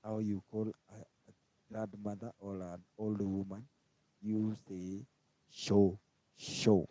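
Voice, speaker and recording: A man from Kenya with a Kenyan accent, giving a casual language lesson and slowly repeating each word. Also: on the low side, little pitch movement, mellow, dry recording, rough recording. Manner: slow, repetitive, spells out each word twice. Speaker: language teacher. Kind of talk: informal live-stream language lesson.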